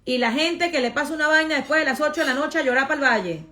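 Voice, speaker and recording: A woman's voice: sits high, fast, pushed and bright. A woman with a Venezuelan accent talking casually and emphatically to her followers in a vlog, repeating phrases for effect. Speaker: social media influencer and vlogger. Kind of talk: casual get-ready-with-me vlog monologue.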